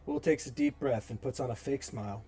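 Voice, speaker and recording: A man narrates a screenplay, reading scene headings and action in a dramatic table-read style. His voice sits at an average pitch, with faint music behind him. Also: brisk, mellow. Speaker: narrator and reader.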